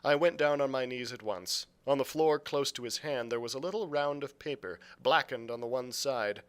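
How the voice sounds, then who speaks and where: A man narrating a storybook aloud as a librarian would, with deliberate, dramatic phrasing. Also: mid-range voice, clear, resonant tone. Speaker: youth services librarian reading aloud.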